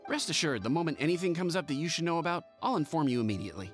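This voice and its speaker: Game role: earnest shop assistant, slightly high voice, very rapid delivery. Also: male voice, big pitch swings, soft background music. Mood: earnest, dutiful. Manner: deferential, earnest. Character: dutiful, respectful male pharmacy assistant. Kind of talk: video game voice acting.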